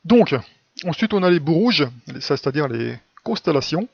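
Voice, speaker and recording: A man's voice: fairly high pitch, big pitch swings, moderate pace, dry recording. A man giving an informal, didactic spoken lesson in a colloquial style with frequent tag words, recorded close up with slightly dull, lo-fi sound. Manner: colloquial, didactic, frequent "hein" and "quoi" tags. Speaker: religious video teacher. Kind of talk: informal explanatory monologue.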